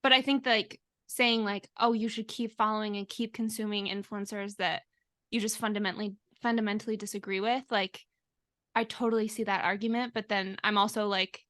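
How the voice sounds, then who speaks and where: A woman's voice: mid-range voice, fairly even intonation, fairly quick, fairly bright tone, dry recording. Woman chatting hesitantly, close and dry. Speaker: podcast co-host. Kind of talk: casual podcast chat.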